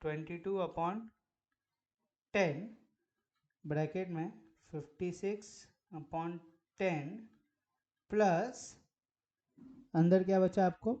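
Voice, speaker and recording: Hoarse male maths teacher, mellow tone. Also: high-pitched, steady tone, slow pace, pauses often, muffled recording. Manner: works step by step, asking rhetorical questions. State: hoarse from a sore throat. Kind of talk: whiteboard maths lesson.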